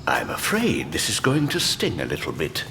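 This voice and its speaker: An actor playing a genteel, sadistic mad doctor in an audio drama, speaking with polite, avuncular menace. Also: male voice, lowish voice, wildly swooping pitch, moderate pace, in a whisper. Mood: cheerfully sadistic.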